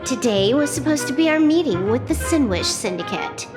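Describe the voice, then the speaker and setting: A woman voicing a cool, wry young hacker outlaw in a video game, cheeky and unbothered with deadpan wit. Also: sits high, normal speed, long reverb tail, music in the background. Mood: annoyed. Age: young adult.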